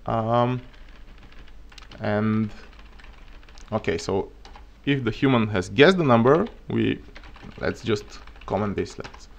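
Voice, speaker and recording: A man with a Bulgarian accent, his first language Bulgarian, talks through a live coding lesson at his desk mic. His voice sits fairly low and has a gravelly, creaky edge. He thinks aloud as he goes and keeps the tone loose and joking. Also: animated, slow pace, a little hiss or hum. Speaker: programming streamer.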